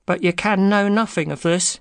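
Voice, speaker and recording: A man's voice: high-pitched, unhurried, a bit bright, voice pushed up. A man voicing a cringing, nervous little man with a thin, whining, quavering voice in an audiobook story. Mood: frightened, quavering. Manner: timid, pleading.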